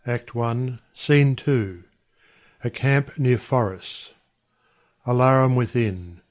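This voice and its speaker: A man's voice: medium pitch, extremely slow. A man narrating a play, reading out scene headings and stage directions in scripted audiobook style.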